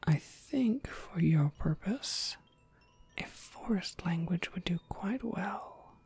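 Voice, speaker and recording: A woman playing a courteous, businesslike witch shopkeeper in a soft, measured ASMR whisper. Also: on the low side, animated, creaky, husky, exaggerated voice. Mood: thoughtful, helpful. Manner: measured, polite, gently explanatory.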